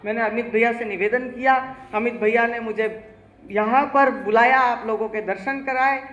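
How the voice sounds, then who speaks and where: A man's voice: very high voice, steady tone, moderate pace, a bit bright, muffled recording. Male comic poet working a live crowd, ribbing people by name, echoey venue. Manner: teasing, addresses audience directly. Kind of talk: live stand-up comic poetry. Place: live stage before an audience.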